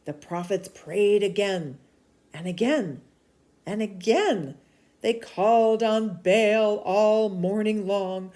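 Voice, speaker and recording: A woman reading a children's storybook aloud in an expressive, animated way, dropping to hushed asides. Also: medium pitch, slow. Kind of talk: read-aloud of a children's book.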